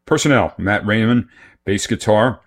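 A man's voice: lowish voice, moderate pace. A man hosting a radio music show, reading album notes and reviews from a script.

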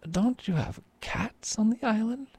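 A man voicing an earnest, excitable male merchant in a told folk tale, speaking in eager, emphatic exclamations. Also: sits high, a little slow, slightly rough, dry recording, voice pushed up, heavily acted.

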